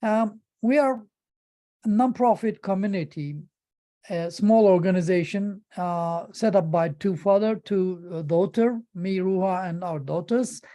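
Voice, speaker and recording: A middle-aged man with a Turkish accent gives an informal presentation in halting English, often repeating words as he searches for phrasing. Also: high-pitched, mellow. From Turkey.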